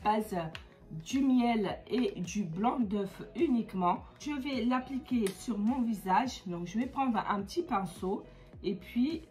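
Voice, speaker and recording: A woman's voice: on the high side, slow, a little mellow. A woman from the Comoros with a stuffy nose from a cold, chatting casually through a beauty how-to vlog with many hesitations. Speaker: beauty vlogger.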